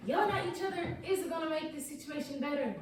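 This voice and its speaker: A woman's voice: sits high, quick pace, natural voice. A woman in a staged play reading, playing a young nature-loving peacenik, laid-back but teasing when provoked. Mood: mellow. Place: echoey indoor room, far mic. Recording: distant, echoey room with hiss.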